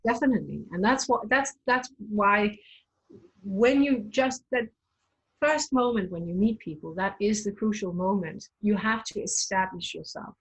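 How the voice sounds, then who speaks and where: Breathy Danish-native woman guide. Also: medium pitch, a little slow, poor audio. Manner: hesitant, restarts often, laughs lightly. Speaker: tour guide and performer. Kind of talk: conversational interview.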